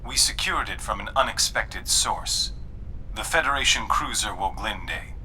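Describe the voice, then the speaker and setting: Low-voiced, guarded officer. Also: male voice, a little slow, sharp tone, slight background noise, low-quality recording. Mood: serious, guarded. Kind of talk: dramatic game cutscene dialogue.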